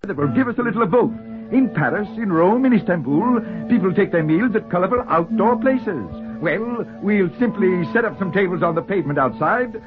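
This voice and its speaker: An actor playing a genial, pompous middle-aged husband turned chef, with florid speech, in a 1940s radio play. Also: male voice, sits high, lively intonation, fairly quick, phone-quality sound. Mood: breezy, grand. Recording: band-limited 1940s radio recording with music.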